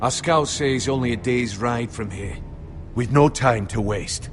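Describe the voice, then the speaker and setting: A man's voice: mid-range voice, measured pace, soft background music, natural voice. Man playing a shrewd castle sentinel. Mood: steady, dutiful. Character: shrewd, loyal male sentinel urging diplomacy. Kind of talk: dramatic video game voice acting.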